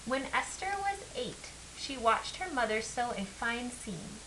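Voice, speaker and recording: A woman reading a children's picture book aloud as an expressive storyteller. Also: on the high side, a little slow. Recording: clear background hiss.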